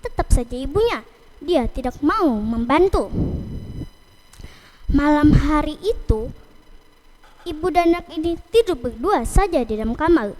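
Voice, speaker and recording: A woman telling a children's folktale in a deliberate, phrase-by-phrase way. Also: high voice, expressive, darkish tone, low-quality recording. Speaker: storyteller. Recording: noticeable background noise.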